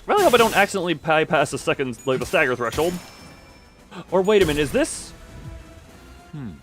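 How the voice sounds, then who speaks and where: A man's voice: on the high side, very animated, a little fast, fairly bright tone. A man giving casual live game commentary, thinking aloud in mutters and sudden exclamations as he talks to himself and his chat. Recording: music playing behind the voice. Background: game music.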